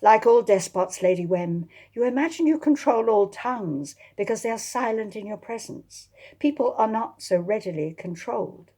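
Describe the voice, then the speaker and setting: Genteel lady sleuth female narrator acting, period novel: proud, exasperated, formally spoken, husky. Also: a little high, lively intonation, moderate pace, few pauses, dark tone, voice pushed up. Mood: exasperated, defiant. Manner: crisply formal, defiant. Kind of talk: dramatised historical novel reading.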